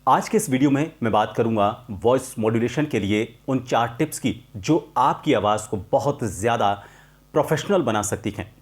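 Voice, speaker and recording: Man, mid-pitched voice, voice coach, instructional explanation, measured delivery, emphatic repetition of key points. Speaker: voice coach presenting a tutorial.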